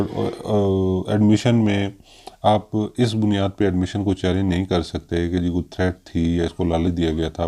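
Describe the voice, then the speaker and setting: A man with a Pakistani accent giving an explanatory legal lecture, haltingly and with frequent hesitations, mixing in English terms. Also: low-pitched, warm tone. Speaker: law lecturer.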